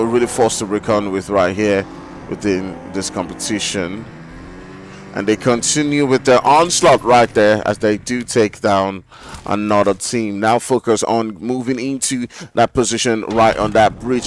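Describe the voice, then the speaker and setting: A man with an African English accent giving live play-by-play commentary on an esports match, with steady fillers as he calls the action. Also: on the low side, slight background noise. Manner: rapid excited calls with filler "you know". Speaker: esports caster. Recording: game gunfire and vehicle sounds behind.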